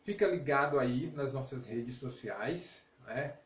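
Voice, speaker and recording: A man's voice: medium pitch, animated, slow, a bit bright. A middle-aged man hosting a casual devotional live stream, encouraging listeners conversationally with frequent check-in tags. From northeastern Brazil (born in Alagoas, raised in Paraíba). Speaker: ministry leader and live-stream host. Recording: roomy, distant mic with clear noise.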